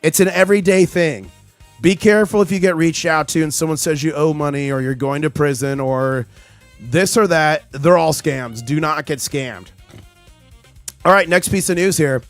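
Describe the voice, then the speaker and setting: Male livestream host, chatty and excitable, voice just back after being lost, faint background music. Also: a little high, normal speed. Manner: chatty, reads chat aloud, excitable. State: recovering from lost voice. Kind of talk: casual live market commentary.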